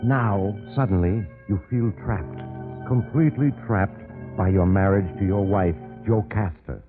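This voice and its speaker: Actor as deep-voiced, sinister mystery storyteller. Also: male voice, a little slow, music in the background, lightly acted. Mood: ominous, inviting. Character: mysterious male train traveler, genial, sinister teller of macabre tales. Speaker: narrator and host of mystery drama. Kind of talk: 1940s radio mystery narration. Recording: muffled, noisy old radio recording.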